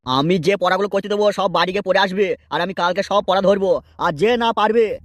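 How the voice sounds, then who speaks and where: A man's voice: high-pitched, brisk, no echo, clean studio sound, close to an everyday voice. A man voicing a stern cartoon schoolteacher who lectures and threatens in a scolding way. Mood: stern, lecturing. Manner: lecturing, admonishing. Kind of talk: cartoon comedy dialogue.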